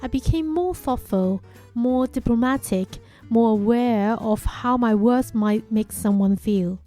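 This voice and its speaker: A young woman whose first language is Chinese, a podcast host giving a calm, measured, reflective monologue. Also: fairly high pitch.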